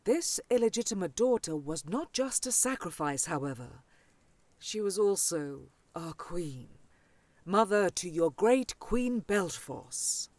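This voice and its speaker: A woman's voice: fairly low pitch, animated, slow, darkish tone, dry recording. A woman playing a proud older dragonfolk scholar in a fantasy audio drama, grandly formal and haughty. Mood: stately, helpful.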